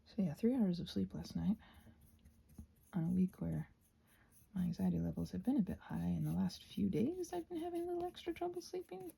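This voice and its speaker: Soft, creaky-voiced woman rambling casually. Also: medium pitch, lively intonation, brisk. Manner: soft-spoken, often drops into breathy whispers; hesitant, meandering, thinking aloud. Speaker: host of a craft ramble channel. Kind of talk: casual rambling chat while painting, ASMR.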